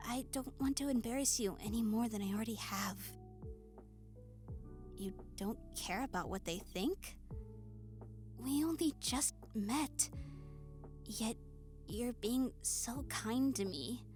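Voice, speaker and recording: A woman voicing a shy, nerdy young goblin woman in a romantic audio roleplay, hesitant, stammering and soft-spoken. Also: fairly high pitch, slow, pauses often. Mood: flustered, embarrassed, then touched, apologetic. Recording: music behind the voice. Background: soft music.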